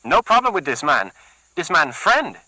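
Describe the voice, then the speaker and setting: A man's voice: a little high, animated, a little fast, bright, forceful tone, dry recording, voice pushed up, low-quality recording. A man voicing a frightened Puerto Rican young man who pleads carefully in broken English with a Puerto Rican Spanish accent. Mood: terrified.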